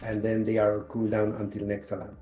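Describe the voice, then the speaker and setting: A man's voice: fairly low pitch, monotone, dark tone, soft background music, rough recording. A man from Argentina with an Argentine Spanish accent, a game developer explaining his game in casual chat with frequent restarts. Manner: halting, frequent restarts and laughs while explaining. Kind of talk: casual video call interview.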